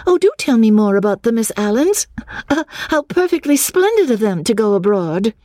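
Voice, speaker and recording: A woman voicing an excitable, desperate young Englishwoman in an audiobook, gushing breathlessly and stammering over repeated words. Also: on the high side, moderate pace, no room sound, studio quality, voice pushed up. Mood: gushing, excited. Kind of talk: audiobook character dialogue.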